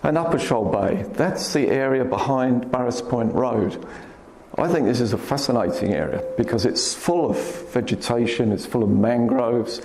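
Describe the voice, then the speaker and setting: A man with a quiet voice, giving a public talk about birds, gently pointing out places on his slides. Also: medium pitch, moderate pace. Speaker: guest speaker, wildlife photographer. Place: hall at a club meeting. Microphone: speaker's microphone. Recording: echoey hall, faint room noise.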